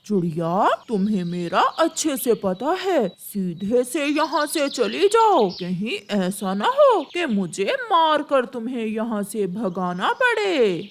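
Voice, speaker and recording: Bully crow played by a woman: menacing, bossy, animated cartoon delivery, unhurried. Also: on the high side, heavily acted. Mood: threatening. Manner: scolding, menacing.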